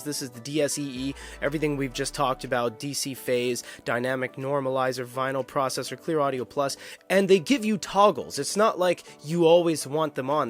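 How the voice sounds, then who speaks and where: A man narrating a casual tech review, explaining things in an informal, emphatic way. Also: medium pitch. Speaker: product reviewer. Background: background music.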